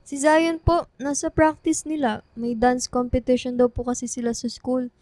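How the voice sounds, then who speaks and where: A woman's voice: high voice, steady tone, normal speed, darkish tone, dry recording, faint music behind, close to an everyday voice. A woman playing a sweet, playful college-age daughter in a Filipino radio drama, polite and lightly pleading. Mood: sweet, cheerful.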